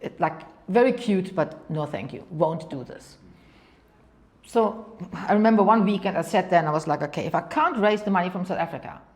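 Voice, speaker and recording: German-accented woman with a lowish voice. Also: normal speed, darkish tone. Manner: talks in long anecdotes, often says "kind of go and", repeats words. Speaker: venture capital partner, guest. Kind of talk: interview storytelling.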